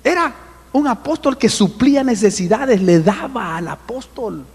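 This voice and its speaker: A man preaching a sermon with an animated manner, often calling out to his listeners as brother. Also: extremely high pitch, moderate pace, breathy edge, big-room sound. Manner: animated, addresses listeners as brother, acts out voices. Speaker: apostle preaching.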